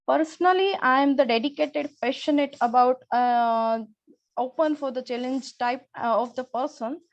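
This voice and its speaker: This high-voiced woman. She gives an earnest, formal self-introduction as a student candidate over a video call. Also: normal speed, lo-fi sound. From India. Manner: earnest, formal, plain phrasing. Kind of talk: prepared self-introduction speech.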